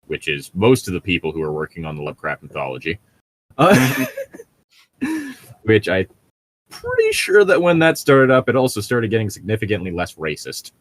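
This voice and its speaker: A man's voice: lowish voice, wildly swooping pitch, normal speed, no echo. A man in casual conversation as a co-host, telling stories in a sardonic, exasperated way. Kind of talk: casual chat.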